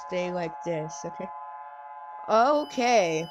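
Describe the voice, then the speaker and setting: A woman's voice: fairly low pitch, expressive, slow pace, very noisy. A woman streamer chatting casually as she reacts to videos, with asides to her pet cat.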